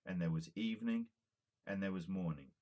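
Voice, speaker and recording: A man giving a solemn, measured reading of scripture with emphatic phrasing. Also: low voice, mellow, highs cut off. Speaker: amateur Bible reader.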